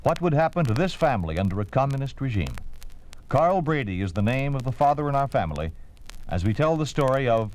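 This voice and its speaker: A man narrating the opening of a 1950s radio drama in a measured, formal way. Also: mid-range voice, sing-song, darkish tone, a little hiss or hum, rough recording. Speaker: announcer. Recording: old radio broadcast with music.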